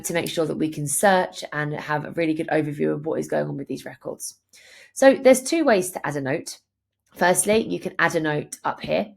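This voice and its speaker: A woman presenting a software tutorial, explaining each step in a clear, instructional way. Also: deep voice. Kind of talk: screen-share tutorial narration, instructional.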